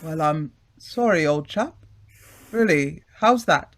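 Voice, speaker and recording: An actor playing a breezy, affable British gentleman in old-time radio drama style, hearty and polite, with old-fashioned phrasing. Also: a little high, animated, slow pace, full character voice. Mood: affable, curious.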